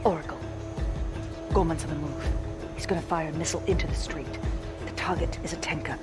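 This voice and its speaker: A woman's voice: low voice, steady tone, brisk, natural voice. A woman playing a focused special forces scout in a video game, reporting in a terse, matter-of-fact way. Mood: terse, alert. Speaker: infiltration scout reporting to commander. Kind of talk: cinematic military game acting. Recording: loud music over the voice.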